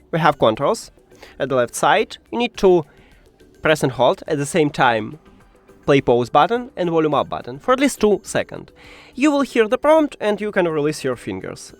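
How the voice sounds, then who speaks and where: A man presenting a step-by-step how-to tutorial in an instructive way, with non-native English phrasing. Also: on the high side.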